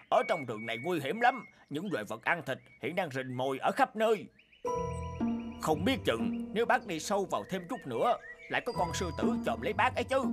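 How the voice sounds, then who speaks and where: A scheming male tiger caught in a trap wheedles and flatters in a bright, high, sing-song voice. He boasts of his power in the forest. Loud music runs under his lines. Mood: wheedling. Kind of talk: cartoon voice acting.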